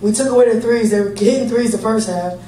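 A woman in her thirties, a head basketball coach, answering reporters at a post-game press conference in a steady, plain-spoken, upbeat way, picked up by a table mic from across a large room. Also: fairly high pitch, quick pace. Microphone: table mic, heard from a distant camera. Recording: echoey room, distant mic, faint hum.

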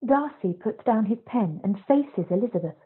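Woman narrating a play script, bit raspy, dull lo-fi call sound. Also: medium pitch, animated, moderate pace. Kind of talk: scripted narration of stage directions. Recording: dull, lo-fi video-call audio.

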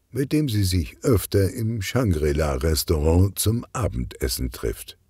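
A man narrating a children's crime story in a measured, expressive storytelling manner. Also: low-pitched, breathy edge, no echo, clean studio sound. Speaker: audiobook narrator.

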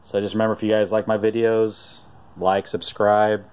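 Guy with a low steady voice, clear, talking over his phone outside, laid-back rambling with lots of uhs. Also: normal speed. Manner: relaxed, rambling storytelling with frequent "uh"s. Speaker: vlogger showing a vintage find. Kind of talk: casual off-camera narration. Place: outdoors on a driveway.

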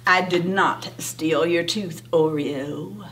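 A woman voicing an ornery young farm boy, a calm, sly know-it-all brother with folksy country talk, in a storybook telling. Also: on the low side, takes it slowly, far from the mic, slight background noise, voice pushed down. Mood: calm, dismissive.

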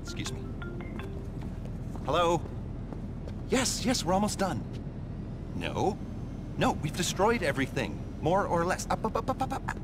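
An actor playing a nervy, evasive, foul-mouthed covert arms dealer in a video game cutscene, rambling and stammering, then abruptly bossy. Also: male voice, sits high, huge pitch swings, unhurried, long pauses, over music, full character voice. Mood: irritated. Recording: clear vehicle and ambient noise.

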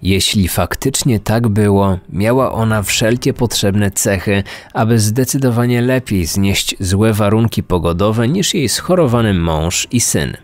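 A man's voice: on the low side, expressive, measured pace, hardly any pauses, dry recording. A man hosting a true-crime podcast in scripted narration. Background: music under the voice.

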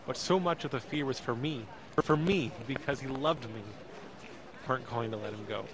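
An anxious, emotional young man acted by a man tells his own story in an audio drama. His delivery is intense and halting, in a mid-pitched voice, with crowd ambience behind. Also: close to an everyday voice, poor audio. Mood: tense, afraid. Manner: intense, halting, repeats words. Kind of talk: dramatic first-person narration in audio drama.

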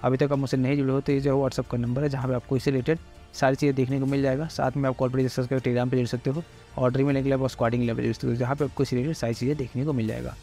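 A man giving a casual, rambling explanation over slides about a cricket pitch, packed with repeated fillers. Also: little pitch movement, dark tone, faint music behind. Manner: rapid, rambling, heavy filler "jo hai". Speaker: cricket fantasy tipster. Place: voice-over on screen recording.

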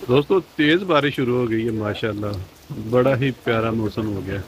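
A man's voice: medium pitch, low-quality recording. A middle-aged man, a vlog host cheerfully narrating while holding his phone out on a bridge in the rain. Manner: cheerful, lively, repeating praise for the weather. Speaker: vlogger host. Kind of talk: casual travel vlog narration. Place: outdoors by a river, on a bridge in the rain. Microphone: phone held at arm's length. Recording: wind noise and rushing water.

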